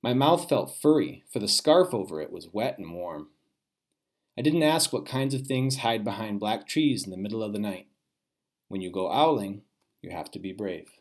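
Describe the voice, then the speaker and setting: A man's voice: on the low side, lively intonation, moderate pace. Man reading a children's storybook aloud.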